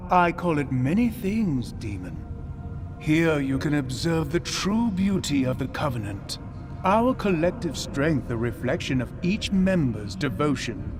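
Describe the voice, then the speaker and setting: Pompous alien priest-king, slow showy voice, swoops up and down, game music behind. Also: on the high side. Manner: grandiose, slow monologuing. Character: scheming alien prophet, pompous male ruler. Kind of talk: video game cutscene acting. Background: music score.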